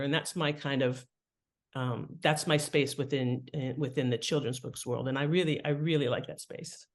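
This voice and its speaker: A middle-aged American woman speaking as an interview guest, conversational and reflective, often pausing to rephrase as she explains. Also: sits low. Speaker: podcast guest, author and editor.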